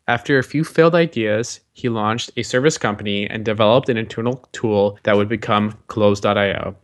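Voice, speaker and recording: A man co-hosting a podcast, reading the scripted show intro and the guest introduction in an upbeat way. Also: mid-range voice. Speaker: podcast co-host.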